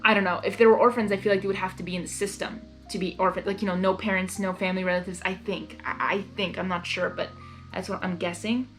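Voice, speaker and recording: A woman's voice: mid-range voice, flat delivery, fast talker, soft background music. A woman reading fan fiction aloud as a narrator, with casual, unrehearsed asides.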